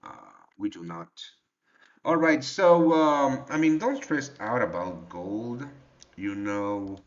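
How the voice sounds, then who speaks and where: A man hosting a trading livestream in a relaxed, halting way, with many fillers as he answers the chat. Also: medium pitch. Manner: reads and answers chat directly. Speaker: trading show host. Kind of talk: casual livestream market talk. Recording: dull lo-fi livestream audio.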